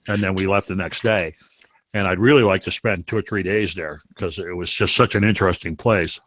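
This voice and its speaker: A man's voice: sits low, steady tone, brisk, a bit bright, no room sound. A man chatting casually in a group, asking questions and telling stories.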